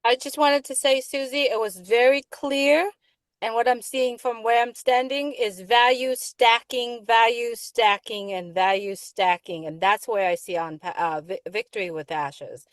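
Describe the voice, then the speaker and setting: A woman's voice: on the high side, steady tone, unhurried, no echo. A woman panelist making a supportive comment, repeating phrases for emphasis.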